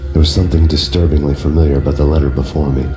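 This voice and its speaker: An actor playing a brooding, world-weary cop, narrating in flat, deadpan hardboiled noir style. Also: male voice, rumbling low, rapid-fire. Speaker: narrator, game protagonist. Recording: roomy echo with loud music score.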